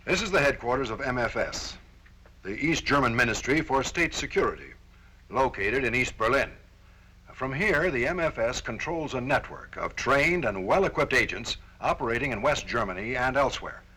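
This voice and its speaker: Forceful, animated American male film narrator. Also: mid-range voice, moderate pace, breathy edge. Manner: stern, formal, authoritative. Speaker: presenter and narrator of a military training film. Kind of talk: scripted documentary narration. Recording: Cold War-era film soundtrack, faint music.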